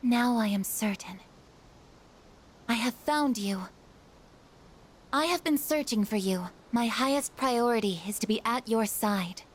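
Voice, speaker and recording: A woman's voice: a little high, measured pace, long pauses. A woman voicing a devoted female android in a video game, speaking in formal, stilted, literal phrases. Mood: earnest, resolute. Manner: formal, stilted, robotic delivery.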